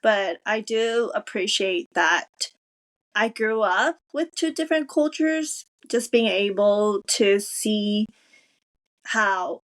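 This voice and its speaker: A woman with a mid-pitched, everyday voice, co-hosting a podcast. She talks in a measured, thoughtful way, pausing to pick her words as she shares her view. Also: expressive. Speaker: podcast co-host. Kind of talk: casual chat.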